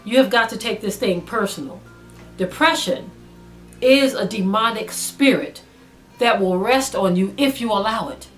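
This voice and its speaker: A woman preaching a sermon to an online audience, earnest and exhorting, slowing down to stress her points. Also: medium pitch, soft background music. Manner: earnest, exhorting, slow and emphatic with rising intensity. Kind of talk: spoken sermon to a video audience.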